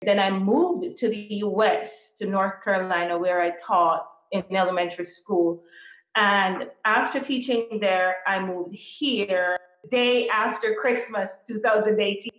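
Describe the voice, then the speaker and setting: A woman from Jamaica, a teacher appearing as a podcast guest, speaking in a measured, deliberate way and laying out her points in order. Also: medium pitch, a bit bright, echoey room, highs cut off. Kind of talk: interview answers.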